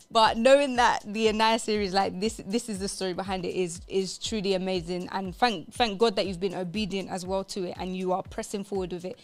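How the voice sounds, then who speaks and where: A woman presenting a live radio show in an upbeat, emphatic way, repeating phrases for stress. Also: mid-range voice.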